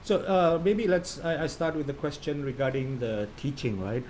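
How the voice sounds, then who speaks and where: A man's voice: a little high, normal speed, darkish tone, a little hiss or hum. A man hosting a live online interview, asking long, rambling questions full of "you know". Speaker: host of a live interview series.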